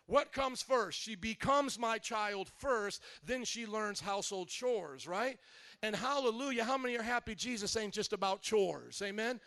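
Brief: male pastor, high voice, dry close recording, lively call-and-response sermon. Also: dry recording. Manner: energetic call-and-response preaching; slows and stretches words for emphasis.

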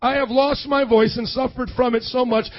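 A hoarse young man preaching a sermon, energetically calling out to the congregation for responses. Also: very high voice, fairly even intonation, normal speed. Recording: dull lo-fi sound.

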